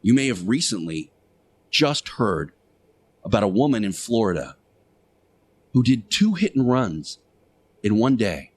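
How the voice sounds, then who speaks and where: An earnest religious teacher played by a man delivers a radio sermon in a fairly low voice. He is preachy and admonishing, leaning on key words with deliberate pauses and repetition. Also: sing-song, darkish tone, breathy edge, full character voice. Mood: grave, insistent. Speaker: radio show host. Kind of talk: radio monologue, moral sermon.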